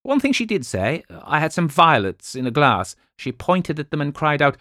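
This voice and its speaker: A man voicing a fretful, self-pitying wife who plays the invalid, in a shrill, plaintive, theatrical way. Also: very animated, moderate pace, sharp tone, dry recording, clean studio sound.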